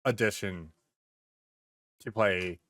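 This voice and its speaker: A man's voice: on the low side, slow, bright, forceful tone, dry recording, studio quality. A man co-hosting a podcast, chatting casually and bluntly with plenty of swearing and repeated words. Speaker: podcast co-host. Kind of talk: casual chat, anecdotes and opinions.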